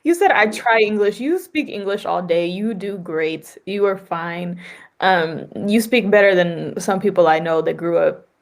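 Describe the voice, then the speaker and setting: A woman hosting an interview podcast. She talks in a lively, conversational way and often adds "like" and "right?". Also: fairly low pitch, unhurried. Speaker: podcast host.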